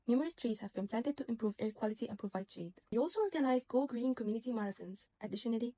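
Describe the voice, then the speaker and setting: A woman's voice: on the high side, mellow, rough recording. A woman of twenty, a student from Egypt, earnestly reading a scripted presentation of her team's design. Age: 20s.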